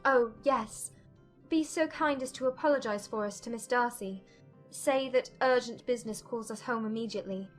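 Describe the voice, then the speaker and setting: Woman to play young period gentlewoman for audiobook drama. High-pitched, distraught, emotional, self-reproaching. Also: normal speed, faint music behind, lightly acted. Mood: subdued urgency. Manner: agitated, emotional, formal.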